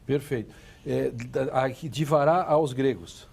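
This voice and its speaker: A man's voice: medium pitch, unhurried, gravelly, darkish tone, breathy edge. A man with a Brazilian accent hosting a panel discussion in a conversational style. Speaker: programme host.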